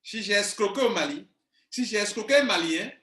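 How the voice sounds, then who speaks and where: A man's voice: high voice, lively intonation, fairly quick, bright, forceful tone. A man, an online business coach talking straight to his viewers in an insistent, repetitive way. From Ivory Coast. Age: 40s. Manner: insistent, emphatic, repeats himself. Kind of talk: video monologue to viewers. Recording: echoey room.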